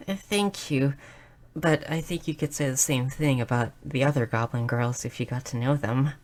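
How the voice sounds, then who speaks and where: A woman voicing a shy, nerdy, curious young goblin girl in a roleplay audio drama, speaking softly and earnestly with small stammers. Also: rumbling low, a little slow. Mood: bashful, touched. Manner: soft, hesitant, stammering, earnest.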